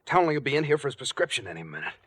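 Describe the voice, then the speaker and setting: An actor playing a nervous, guilty pharmacist in a 1940s radio drama, hesitant in manner. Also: male voice, mid-range voice, big pitch swings, racing, a bit bright, husky. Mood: agitated, desperate. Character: anxious, guilty pharmacist plotting a murder. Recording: vintage 1940s radio broadcast.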